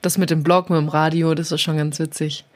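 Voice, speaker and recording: A woman in her twenties, a guest on a casual podcast, talking in a relaxed, easygoing way. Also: sits low, a little fast. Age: 20s. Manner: relaxed, laughs easily, casual. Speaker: podcast guest, former radio presenter.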